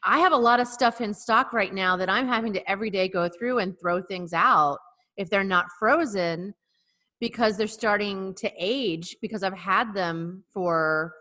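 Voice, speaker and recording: A woman co-hosting a local podcast over a video call, chatty and quick to laugh. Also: medium pitch, pushed and bright. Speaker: podcast co-host and café owner. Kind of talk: casual video-call chat.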